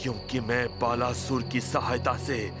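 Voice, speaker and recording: A man plays a vengeful cartoon schemer with a medium-pitched voice. He speaks like a dramatic conspirator, with loud music underneath. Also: level tone, unhurried, lightly acted. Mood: plotting. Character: scheming vengeful villain offering an evil alliance. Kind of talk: children's cartoon villain acting.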